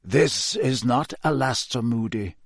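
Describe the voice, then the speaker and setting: Male reader as elderly wizard headmaster, fantasy audiobook; measured authority, lifted pitch, dry recording. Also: big pitch swings, dry recording, exaggerated voice. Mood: quiet, grave. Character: powerful ancient male wizard headmaster, grave and commanding.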